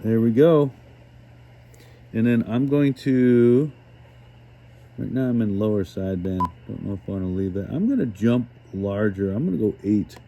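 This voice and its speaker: A man giving a casual hands-on radio demonstration as host, thinking aloud in a halting way. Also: fairly low pitch, expressive, warm tone, a little hiss or hum. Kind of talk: casual hands-on demonstration, talking while working.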